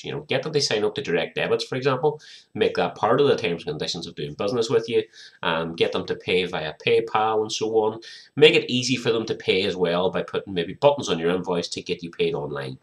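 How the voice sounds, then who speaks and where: A British man with a low-pitched voice that sounds somewhat hoarse and croaky. He speaks conversationally, straight to the viewer, as an accountant explaining things in an informal advice video. He sounds middle-aged. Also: animated, quick pace. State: hoarse, croaky from a viral infection. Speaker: small business accountant presenting advice.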